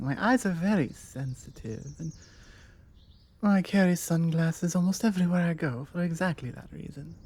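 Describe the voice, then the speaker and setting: British female vampire, played by a woman, forward and self-assured, low mellow voice for ASMR roleplay. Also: breathy edge, heavily acted. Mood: polite, chatty. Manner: chatty, self-assured, playfully insistent. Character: forward, confident female vampire making flirty small talk.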